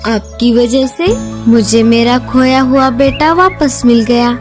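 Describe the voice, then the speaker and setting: Gentle, earnest mother bird played by a woman, high and unhurried, for a children's cartoon. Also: over music. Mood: grateful, earnest.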